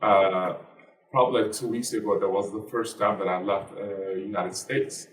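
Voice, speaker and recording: A young man speaks with a Turkish accent in a low voice, earnest and halting, with plenty of fillers. He sounds a little far from the mic in a roomy space. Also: steady tone. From Turkey. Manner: earnest, halting, many fillers and broken grammar. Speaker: guest, basketball player and activist. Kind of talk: interview answers.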